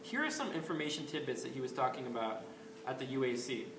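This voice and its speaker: Male host, casual rambling delivery, mid pitch, roomy echo, faint background hiss. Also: big pitch swings, fast talker. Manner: rambling, many ums and restarts. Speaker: astrology video host. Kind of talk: casual spoken commentary. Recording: echoey room with faint ambient noise.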